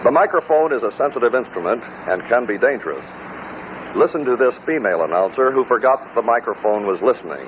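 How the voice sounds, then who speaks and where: A male narrator reads scripted introductions at a medium pitch. The old radio recording carries a light layer of noise. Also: expressive, fast talker. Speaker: narrator of a blooper compilation.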